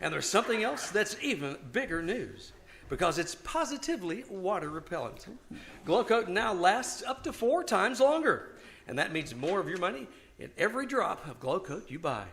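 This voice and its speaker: Casting: male actor as cheerful 1950s radio pitchman, high bright voice, polished and emphatic delivery. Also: big pitch swings, moderate pace, gravelly, a little hiss or hum, full character voice. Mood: upbeat, promotional. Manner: polished sales patter, emphatic. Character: cheerful old-time radio announcer pitching floor wax. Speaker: announcer. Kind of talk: 1950s radio announcing, live re-enactment.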